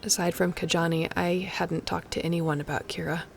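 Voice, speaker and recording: A woman's voice: on the low side, flat delivery, normal speed, soft background music. A woman playing a snarky, guarded but fond young spaceship pilot in an intimate audio roleplay, talking in a casual, teasing, confiding way to the listener. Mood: tender, confiding. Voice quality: heavy creaky fry.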